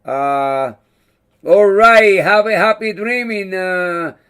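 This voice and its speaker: A man whose first language is not English, hosting a casual live chat, talking in a halting way and searching for words. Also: sits high, bright, forceful tone, smooth. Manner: friendly, thanks viewers by name. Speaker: livestream host.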